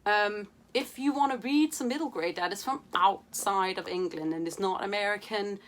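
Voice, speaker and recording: A woman's voice: medium pitch, moderate pace, a bit bright. A young woman from Denmark, chatting to camera about books in a playful, casual way, with many fillers and casual swearing. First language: Danish. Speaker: book vlogger.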